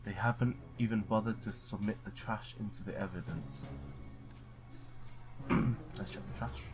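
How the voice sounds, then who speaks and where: Low-voiced man reading a game aloud. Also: moderate pace, over music. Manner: stumbles over read text, blurts excited reactions. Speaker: gamer playing and reading a visual novel. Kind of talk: let's play, reading game text aloud with reactions.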